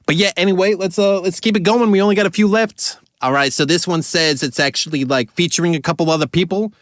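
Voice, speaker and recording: Slightly hoarse man, casual rambling talk. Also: sits high, fairly even intonation, quick pace, fairly bright tone, dry recording, studio quality. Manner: rambling, loose, lots of "like" and swearing. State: voice going hoarse. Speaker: caricature artist reacting to music. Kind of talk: casual reaction commentary.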